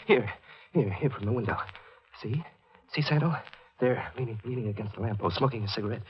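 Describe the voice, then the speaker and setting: A man's voice: mid-range voice, fairly quick, slightly rough. An actor playing a paranoid, fatalistic newlywed man in a 1940s radio melodrama, agitated and brooding. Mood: fearful, fixated. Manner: agitated, brooding, repeating words. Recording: dull vintage 1940s radio recording. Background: music.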